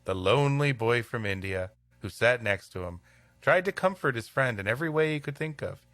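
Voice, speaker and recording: A man reading a storybook tale aloud as a podcast host, in expressive narration. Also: mid-range voice, a little fast, dry recording, faint music behind.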